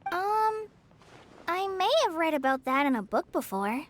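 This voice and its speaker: A woman's voice: high-pitched, sing-song, a little slow. A woman voicing a timid, tentative teenage girl student in a video game. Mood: unsure.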